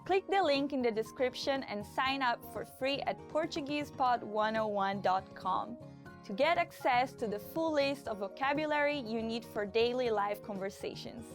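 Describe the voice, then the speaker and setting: A woman presenting a vocabulary lesson, repeating each word slowly and deliberately. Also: on the high side, lively intonation, soft background music. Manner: slow, deliberate repetition. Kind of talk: slow word-by-word vocabulary drill.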